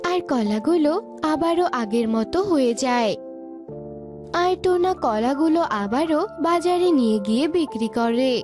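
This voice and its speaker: A woman's voice: sits high, animated, moderate pace. A woman storyteller giving scripted narration for a children's cartoon. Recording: music behind the voice.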